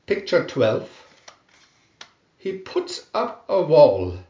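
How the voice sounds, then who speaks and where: A man narrating a scripted children's storybook in a deliberate, expressive storytelling manner. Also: on the high side, echoey room, dull audio.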